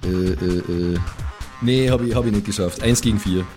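A man's voice: fairly low pitch, moderate pace. A man talking casually as a player in an improvised tabletop role-playing game. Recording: music bed behind the voice. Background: background music.

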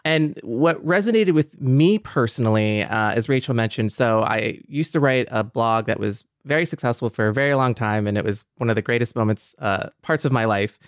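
A man's voice: medium pitch, normal speed, few pauses, no echo, studio quality. A man co-hosting a podcast in a conversational style. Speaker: podcast co-host.

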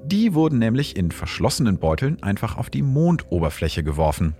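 A man with a very deep voice narrates science explanations for an audio drama podcast. His delivery is lively and varied, with a faint bed of music under him. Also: brisk. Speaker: podcast host and astrophysicist.

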